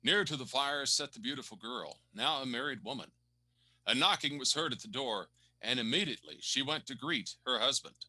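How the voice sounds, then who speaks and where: A man's voice: mid-range voice, expressive, a little fast, pushed and bright, low-quality recording. A man narrating a radio play with dramatic, scripted storytelling.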